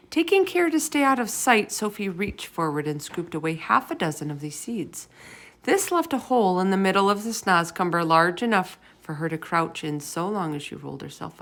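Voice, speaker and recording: A woman reading a children's story aloud as an expressive narrator. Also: fairly low pitch.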